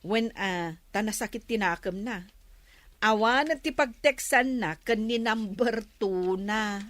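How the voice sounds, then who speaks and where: A woman playing a teasing, gossipy confidante in an audio drama, with a playful, expressive delivery. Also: mid-range voice, slow pace, dry recording. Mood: confiding. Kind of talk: Filipino audio drama acting.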